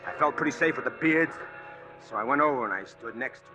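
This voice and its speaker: A man's voice: medium pitch, fast, muffled recording, close to an everyday voice. Actor as an earnest, desperate man, music behind. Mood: confiding, brooding. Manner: earnest, tense, confiding. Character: desperate, obsessed man narrating his hunt for truth. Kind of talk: 1940s radio acting. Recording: old radio drama with music.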